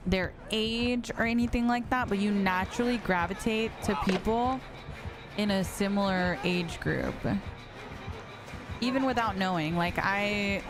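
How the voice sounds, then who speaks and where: Chatty woman in her twenties streaming. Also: medium pitch. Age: 20s. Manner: chatty, reads chat aloud and answers. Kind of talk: casual live-stream chat while gaming. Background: background music.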